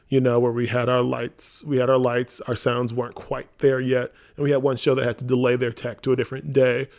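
A man talking casually on a podcast as one of the hosts, informal and animated, often acting out quotes. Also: medium pitch, creaky. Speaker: theater company member and podcast host.

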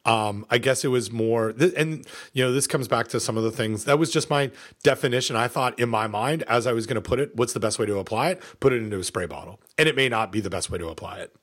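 A middle-aged man co-hosting a podcast, chatting casually with many fillers, pauses and restarts. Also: medium pitch, fairly bright tone. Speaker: podcast co-host.

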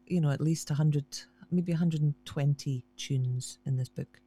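A woman's voice: low-pitched, normal speed, warm tone. A woman harpist, chatting casually and self-deprecatingly as a guest in an interview, often restarting her sentences.

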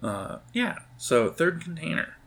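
A man narrating an improvised tabletop game as game master, in a halting, conversational way, speaking directly to his players. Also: fairly high pitch, sing-song, vocal fry, a bit bright.

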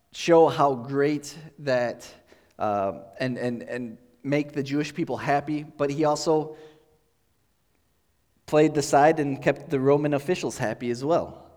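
A man with a mid-range voice preaches a relaxed, conversational sermon, thinking aloud as he explains. His words ring out with a long tail of reverb, as from a large church sanctuary. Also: mid-range voice. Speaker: pastor.

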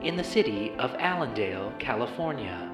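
A woman voicing an automated house's dutiful announcer, reciting reminders in a measured, official way for an audio drama. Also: a bass voice, sing-song. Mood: neutral, dutiful. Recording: roomy echo with loud music.

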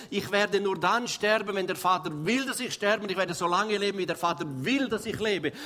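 A man preaches, his voice sitting a little high for a man. He tells stories and acts out prayers with growing force, throwing rhetorical questions to the congregation. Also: pushed and bright. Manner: storytelling, acts out prayers and quotes with rising voice; asks the audience rhetorical questions. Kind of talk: sermon.

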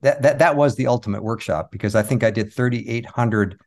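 A man's voice: medium pitch, brisk, creaky, a little mellow. A man telling anecdotes casually as an interview guest. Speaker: interview guest, magician and comedy performer.

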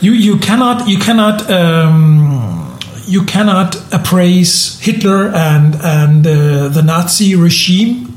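Halting Danish-born man, high voice. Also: very animated, roomy sound. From Denmark. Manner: halting, many restarts and repeated words. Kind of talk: casual podcast conversation. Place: room in southern Germany.

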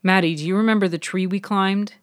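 A woman's voice: medium pitch, fairly even intonation, a little fast, no echo, clean studio sound, close to an everyday voice. A woman voicing an earnest, cautious and slightly awkward young man in a fiction podcast. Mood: intent. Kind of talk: audio fiction character dialogue.

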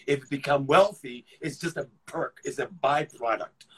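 A man from Ghana, an actor, talking about his career in a relaxed interview, often restarting and repeating words as he thinks. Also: mid-range voice, moderate pace, a loud crowd, low-quality recording. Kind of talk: casual conversational storytelling.

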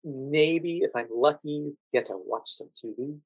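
A man's voice: fairly high pitch, a little slow, dry recording, low-quality recording. American man telling stories, closely recorded and dry. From United States. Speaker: podcast guest, actor working as a standardized patient. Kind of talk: conversational interview storytelling.

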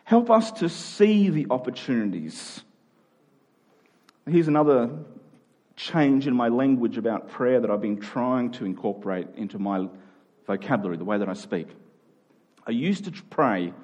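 A male preacher with a somewhat husky voice at an average pitch. He delivers his sermon conversationally, in informal, everyday phrasing, and leans hard on key phrases by repeating them. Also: darkish tone.

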